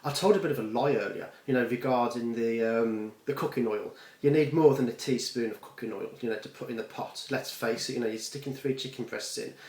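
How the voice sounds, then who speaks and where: A man's voice: medium pitch, brisk, few pauses, darkish tone. A British man giving a casual, unscripted home cooking demonstration, rambling along with offhand, self-deprecating asides. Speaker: home cook presenting a recipe video. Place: home kitchen. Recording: echoey kitchen with frying, clattering noise.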